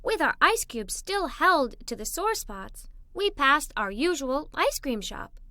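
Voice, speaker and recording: A woman narrating a children's story in an expressive, dramatic read-aloud style. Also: high voice, a little slow, dry recording.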